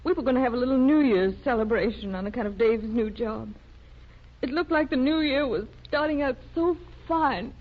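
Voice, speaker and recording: A woman playing an anxious, distraught wife with a halting, despairing delivery in a 1930s radio drama. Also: a little high, close to an everyday voice. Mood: tearful. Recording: noisy, muffled 1930s radio recording.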